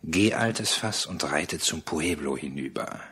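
An actor playing a rich, domineering tea planter in a radio play, laconic and sardonic. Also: male voice, very deep voice, expressive, measured pace, bright, forceful tone, breathy edge, exaggerated voice. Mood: casually threatening. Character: rich, cold, lazily menacing male tea planter. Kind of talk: German radio play acting.

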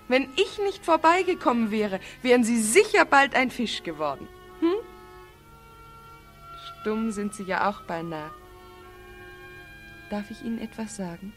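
Actress as young woman, very lively intonation, teasing, kind-hearted, theatrical, German, 1940s radio play, old recording, music. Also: medium pitch, natural voice. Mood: teasing concern. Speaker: radio drama actor.

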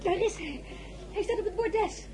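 An actress playing an anxious, excitable woman in a radio drama. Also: extremely high pitch, normal speed. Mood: alarmed.

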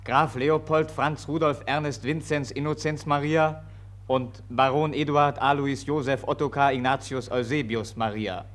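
An actor as a formal scene announcer, declaiming deliberately in a 1940s radio play. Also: male voice, mid-range voice, steady tone, lightly acted.

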